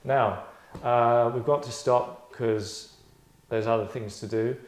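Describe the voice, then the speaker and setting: A man hosting and directing a table read, chatting casually in a tongue-in-cheek acting critique, deadpan, rambling and self-satisfied. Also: mid-range voice, slow pace. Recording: roomy, echoey indoor sound.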